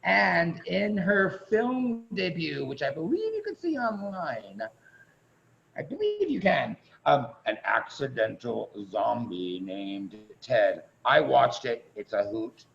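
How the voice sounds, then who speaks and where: A man with a gravelly, creaky voice gives a casual host's introduction. His delivery is informal and chatty, with playful emphasis. The video-call sound is dull and slightly noisy. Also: on the high side, very animated, slow, fairly bright tone. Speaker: host introducing performers.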